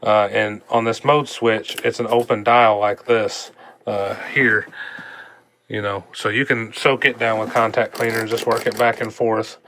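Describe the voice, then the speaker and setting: A man's voice: lowish voice, a little slow, no echo. A man gives an informal, folksy hands-on repair demonstration, thinking aloud as he works. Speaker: hobbyist repair vlogger. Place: indoors at a repair workbench. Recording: clear steady background noise.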